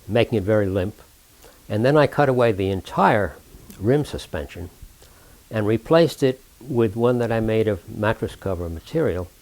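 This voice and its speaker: Deliberate older man with a low voice. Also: a little mellow, rough recording. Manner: deliberate, halting, with long pauses mid-sentence; precise, formal, technical wording. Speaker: inventor recounting his own work. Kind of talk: oral history recollection with technical explanation.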